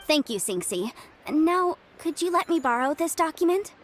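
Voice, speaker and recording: A woman's voice: high-pitched, very animated, normal speed, slight background noise. A woman playing a confident, breezy young legal advisor in a video game, speaking articulately. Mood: confident, businesslike. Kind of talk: video game character dialogue. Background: game music.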